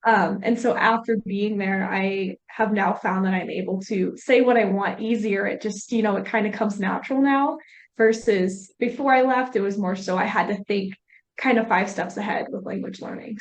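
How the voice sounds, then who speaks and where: A woman's voice: mid-range voice, rough recording. Young woman student on a panel. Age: young adult. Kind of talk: panel discussion.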